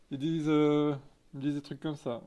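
A man's voice: a little high, little pitch movement, normal speed, dark tone, smooth. Chatty man with an eastern French accent. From Lorraine, France. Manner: informal, candid, slangy. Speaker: live streamer and photographer. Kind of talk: casual chat, reading and answering live chat. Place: small indoor photo studio office.

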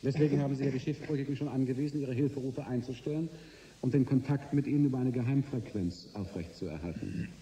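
A roomy recording carries an actor playing a shrewd male executive with a very dark, soft voice. He negotiates in a suave, condescending way, weighing his words like a man who holds the stronger hand. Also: medium pitch, fast, lightly acted. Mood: calculating. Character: shrewd, smug male energy corporation boss negotiating hard. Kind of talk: German radio drama acting. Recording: roomy sound with faint noise.

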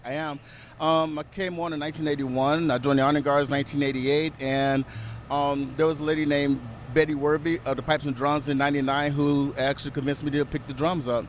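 Male veteran firefighter, 60s, fairly high voice, hesitant explainer, faint street noise from an open garage bay. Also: little pitch movement, a little fast. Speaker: veteran firefighter and honor guard drummer. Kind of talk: live interview. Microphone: reporter's handheld microphone.

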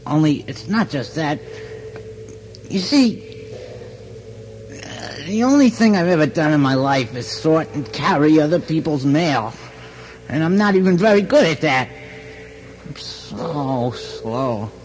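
An actor playing a lonely, timid mailman of about thirty in a radio drama, meek and halting. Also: on the high side, animated, a little mellow, faint music behind, poor audio. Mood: meek, downcast. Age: around 30.

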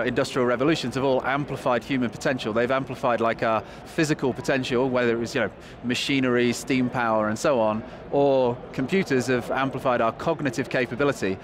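A man in his thirties with a Northern English accent, answering interview questions quickly and keenly with some fillers, at a convention hall. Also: mid-range voice. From England. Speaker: guest, company chief executive. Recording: busy trade show noise behind.

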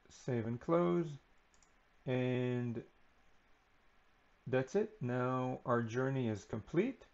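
A man giving an unscripted screen-recording walkthrough of software, relaxed and unhurried with frequent ums and uhs. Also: medium pitch, creaky, highs cut off. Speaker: software tutorial presenter.

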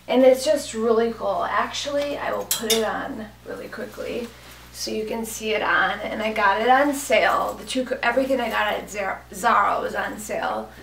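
A woman in her thirties, hoarse from a cold, chatting casually and gushing about clothes on camera in a small room, with lots of fillers. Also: fairly high pitch. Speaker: fashion vlogger. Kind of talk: casual clothing haul chat. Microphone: camera mic from a few feet away. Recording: echoey room, mic at a distance.

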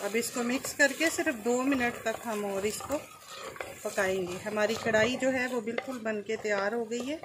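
A woman hosting a cooking channel, calmly explaining each step as she cooks at an outdoor stove. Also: a little high, moderate pace, background noise.

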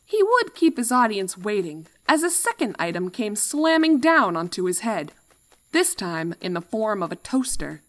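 A woman reading a scripted story as narrator, with a dramatic and expressive delivery. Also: medium pitch, normal speed, slight background noise.

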